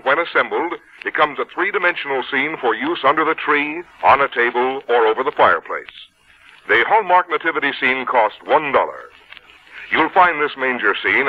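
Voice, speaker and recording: A man's voice: mid-range voice, slightly rough. A man doing formal, polished, scripted 1950s radio commercial announcing. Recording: muffled 1950s radio recording.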